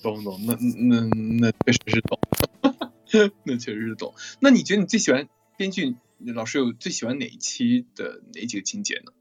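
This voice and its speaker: Very low-voiced woman hosting, lively. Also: normal speed, faint music behind. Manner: teasing, repeats words while searching. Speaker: interview host. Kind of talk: casual interview chat.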